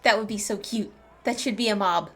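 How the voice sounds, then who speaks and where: A woman's voice: mid-range voice, fairly quick, a bit bright, slight background noise. A woman giving casual, enthusiastic, gushing commentary as she plays through a game. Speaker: game streamer. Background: game music.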